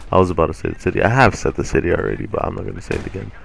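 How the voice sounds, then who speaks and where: A young man hosting a gaming channel, rambling casually over gameplay with a deep voice and many ums, his throat a little sore. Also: very animated, rapid-fire, vocal fry, slight background noise. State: sore throat from swollen tonsils.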